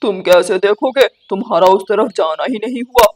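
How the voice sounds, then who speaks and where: A woman's voice: medium pitch, moderate pace, lightly acted. A woman voicing a scheming, mocking male crow in a children's cartoon, with a sly, taunting way of talking. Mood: sly, coaxing. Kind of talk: Hindi children's cartoon dialogue.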